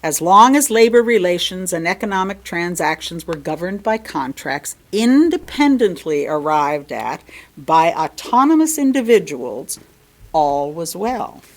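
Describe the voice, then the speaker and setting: A woman reading a written sermon aloud in formal, measured phrases, with deliberate emphasis and pauses. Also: on the low side, very animated. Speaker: lay reader giving a sermon. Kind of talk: sermon read from a written text.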